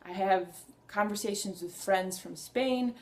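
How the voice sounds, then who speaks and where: A young woman speaking to her camera in a relaxed personal vlog. She sounds earnest and enthusiastic, with a mid-range voice, and she rambles a bit, backing up to restart her thoughts as she goes. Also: medium pitch, a little slow. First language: Hungarian. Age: young adult. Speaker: vlogger.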